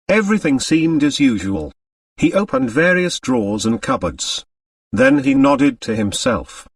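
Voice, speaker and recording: Expressive male audiobook narrator, animated pitch. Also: gravelly, dry recording, distant mic. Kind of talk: scripted literary narration.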